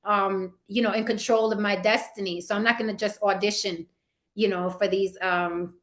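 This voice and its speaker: A woman's voice: mid-range voice, steady tone, moderate pace, fairly bright tone. A woman actress being interviewed, talking casually and animatedly, often repeating words for emphasis and asking "right?". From Puerto Rican heritage. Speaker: actress and YouTuber guest.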